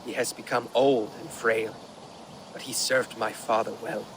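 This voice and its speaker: An actor playing a troubled, earnest Viking jarl in a video game, speaking gravely. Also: male voice, mid-range voice, little pitch movement, unhurried, noisy background, natural voice. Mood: pained, grave. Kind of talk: video game dramatic acting.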